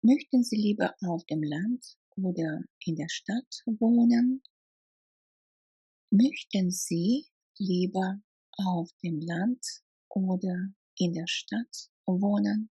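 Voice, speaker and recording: A woman reads lesson phrases at an extremely slow, deliberate pace, breaking each into careful chunks. Her voice is mellow and slightly husky. The sound is dry and close. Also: medium pitch, dry recording, muffled recording. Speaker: language lesson reader. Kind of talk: reading phrases for beginners.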